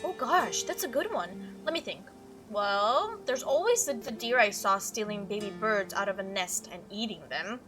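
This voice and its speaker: A woman voicing a chatty, excitable young female cryptid hunter from a visual novel, telling stories in an animated, gushing way. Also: medium pitch, moderate pace, over music, voice pushed down, close to an everyday voice. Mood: enthusiastic recollection. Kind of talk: visual novel character read-aloud.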